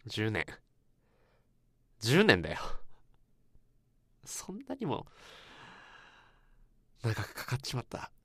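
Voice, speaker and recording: A man acting in a radio drama as a drunk, guilt-ridden young man who speaks haltingly and repeats himself in anguish. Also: fairly low pitch, huge pitch swings, sharp tone, slightly rough, no room sound, exaggerated voice. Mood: tipsy, sorrowful. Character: drunk, guilt-ridden man confessing to his old friend.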